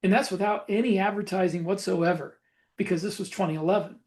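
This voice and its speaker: A man with a noticeably high voice for a male speaker chats casually as a podcast host. He tells his stories reflectively, in a halting way, feeling his way through each thought. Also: fairly even intonation, darkish tone. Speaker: podcast host and self-published author. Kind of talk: casual podcast conversation about a writing career.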